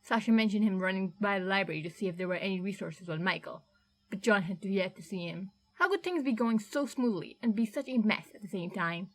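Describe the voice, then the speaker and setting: A woman reading fan fiction aloud as a podfic in steady, even narration. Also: mid-range voice, a little fast, rough recording. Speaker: podfic reader.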